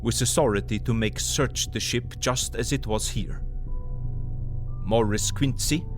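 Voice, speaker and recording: An actor playing an older foreign professor in an audio drama, earnest and emphatic, with halting foreign syntax. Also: male voice, mid-range voice, close to an everyday voice. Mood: commanding.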